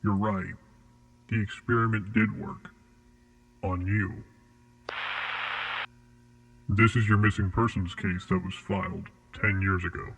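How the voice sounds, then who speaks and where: Guy playing cold secret agent questioning someone, kinda deep, controlled and polite but menacing, bit of hum behind him, horror audio drama. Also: fairly even intonation, slow pace, gravelly, pauses often. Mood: calm, grave. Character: cold, composed mysterious male interrogator from secret organization.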